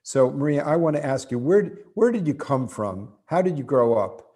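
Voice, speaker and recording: A man hosting an interview, introducing his guest in a measured, earnest way with some restarts. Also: mid-range voice, animated, dark tone, slightly rough. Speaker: host.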